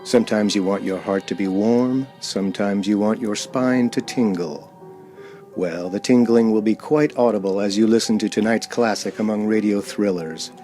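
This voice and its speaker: A man takes the part of a solemn old-time radio announcer. He presents in a formal thriller style with a fairly low voice. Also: moderate pace, dark tone, soft background music, close to an everyday voice. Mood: solemn, ominous.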